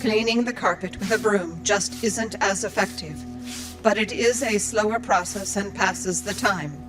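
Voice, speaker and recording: A woman's voice: medium pitch, measured pace, lightly acted, poor audio. A woman playing a dutiful, earnest android librarian in an audio drama, speaking in formal, precise, procedural phrases. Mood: dutiful, methodical. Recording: music behind the voice. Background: music.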